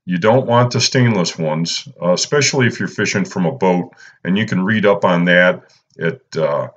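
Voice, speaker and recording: Man, low voice, easygoing how-to talk, filler-heavy, muffled lo-fi sound. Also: normal speed, fairly bright tone. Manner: laid-back, lots of "uh" and "you know". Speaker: fishing channel host. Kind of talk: casual instructional voice-over.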